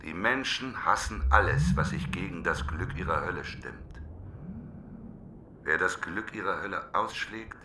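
A desperate wily prophet in a radio play, very bright tense voice, urgent, pleading, bit of background noise. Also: male voice, low-pitched, lively intonation, measured pace, heavily acted. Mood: hushed, bitter. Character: desperate, wily man swallowed by a whale, reluctant prophet. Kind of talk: literary radio drama acting.

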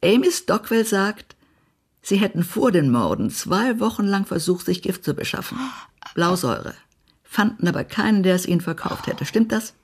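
An actress playing a spiteful, haughty small-town society gossip in a German radio play, blunt and imperious. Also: female voice, medium pitch, lively intonation, normal speed, slightly rough. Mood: imperious, accusing. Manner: blunt, acid, imperious, swearing.

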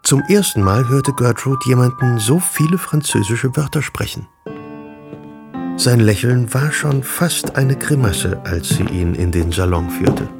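A man's voice: medium pitch, lively intonation, measured pace, over music. A man reading scripted literary narration as the narrator of a radio drama.

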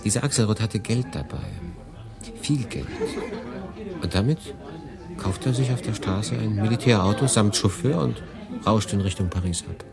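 Low, gravelly, weary refugee narrator. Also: male voice, moderate pace, long pauses. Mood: wry, confiding. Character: weary, reflective male refugee narrator looking back. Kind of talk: dramatic first-person narration in a radio play. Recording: music behind the voice.

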